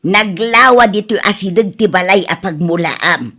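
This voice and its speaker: An actress playing an exasperated, worried farmer's wife who scolds and exclaims in an Ilocano radio drama, with an Ilocano accent. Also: female voice, medium pitch, normal speed, pushed and bright. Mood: scolding.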